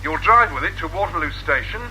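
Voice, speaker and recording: An actor playing a cold, mocking kidnapper giving orders over the telephone in a radio drama. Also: male voice, fairly high pitch, lively intonation, a little fast, sharp tone. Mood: taunting, controlled. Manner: mocking, commanding, falsely polite. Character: taunting, cold-blooded male kidnapper making ransom demands. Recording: noisy old radio drama, telephone call.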